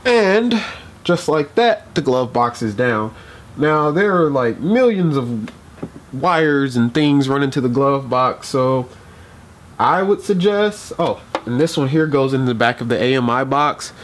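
A man's voice: mid-range voice, animated, a little slow, a little hiss or hum. A young man in a casual how-to vlog, talking through a car repair with lots of ums and restarts while he works outdoors at night. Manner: rambling, many ums and restarts. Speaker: DIY car repair vlogger. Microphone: camera mic, moves around while working.

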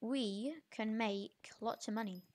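A young video creator with an informal, halting, self-correcting way of talking, giving a casual game tutorial. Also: medium pitch, darkish tone. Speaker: gaming video creator, host.

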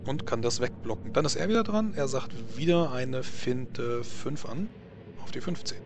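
A man running a tabletop combat as game master, talking casually and a bit haltingly as he narrates. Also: mid-range voice, expressive, background noise. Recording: music bed behind voice. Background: background music.

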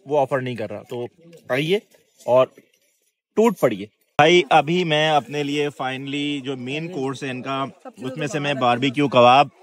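A man in his thirties with a Pakistani Urdu accent, hosting a casual food vlog outdoors and reacting with excited exclamations. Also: fairly high pitch. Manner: excited, exclamatory, chatty with staff. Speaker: food vlogger. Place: outdoor restaurant at dusk.

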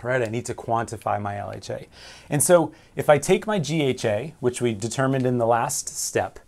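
A man's voice: mid-range voice, expressive, moderate pace, vocal fry, a little mellow. Man explaining navigation at a whiteboard. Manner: explains step by step, asks and answers his own questions. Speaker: navigation instructor. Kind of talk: whiteboard lesson. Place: small room with framed pictures and signal flags.

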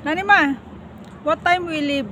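A woman's voice: extremely high pitch, moderate pace, bright, forceful tone. A Filipino woman narrating a casual vlog outdoors, switching between Tagalog and English. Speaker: vlogger. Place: outdoors in a hilltop garden. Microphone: handheld phone or camera mic. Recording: noisy outdoor handheld recording.

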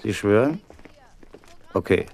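An actor playing a gruff, suspicious lower-class coach driver in a radio drama, speaking bluntly in slang. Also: male voice, animated, takes it slowly, heavy background noise. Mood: wary, brusque.

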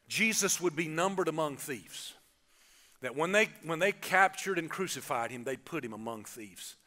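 A man, preaching an animated Easter sermon from a church stage and calling on the congregation to answer. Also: high voice. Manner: animated, gestures broadly, calls on the congregation. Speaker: pastor. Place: church stage, large indoor auditorium.

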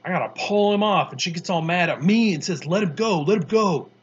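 A brash young street boy, put on by a man, tells his tale in a raised, slangy rush. The voice sits well above a grown man's, with a husky edge. The recording sounds a little dull and muffled. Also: high-pitched, quick pace, pitched above the performer's own voice. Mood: boastful. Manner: slangy, boastful, excitable storytelling.

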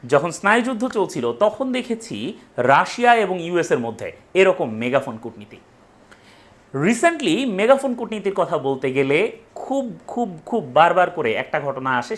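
A young man presents an explainer, emphatically rephrasing his points and posing rhetorical questions. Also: fairly high pitch. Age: young adult. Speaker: educational presenter. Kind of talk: explainer talk to camera. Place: small indoor room with bookshelf.

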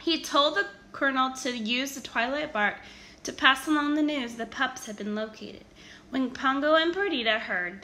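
A woman reading a children's story aloud in an expressive, animated storytelling manner with emphatic stresses. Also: a little high. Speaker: storybook reader.

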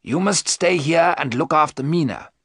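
Man acting a grave professor, high and emphatic. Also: expressive, a bit bright, husky, dry recording, clean studio sound, exaggerated voice. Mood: firm. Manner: emphatic, deliberate. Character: grave learned older male professor, vampire hunter, commanding.

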